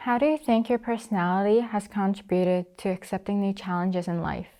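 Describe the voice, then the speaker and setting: A teenage girl working as a student interviewer, politely reading prepared questions in a measured way. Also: medium pitch, steady tone, warm tone. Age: teens.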